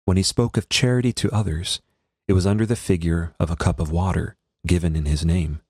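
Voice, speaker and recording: A man, a priest, reading a devotional text aloud in a solemn, measured and formal manner. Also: deep voice, steady tone, darkish tone, slightly rough, no room sound, studio quality.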